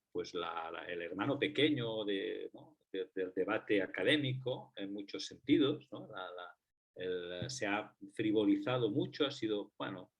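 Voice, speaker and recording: Low-voiced Catalan man with many fillers. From Catalonia, Spain. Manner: hesitant, many fillers and restarts. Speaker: panel guest, researcher. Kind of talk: debate talk.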